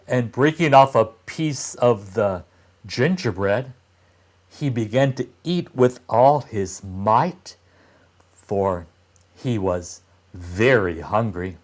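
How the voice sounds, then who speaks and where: A man reads a children's fairy tale at a very unhurried pace. His mid-pitched voice rises and falls in a lively way, with pauses and emphasis between short phrases. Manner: deliberate phrasing with frequent pauses and expressive emphasis. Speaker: storyteller reading aloud to young children. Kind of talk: scripted read-aloud of a fairy tale.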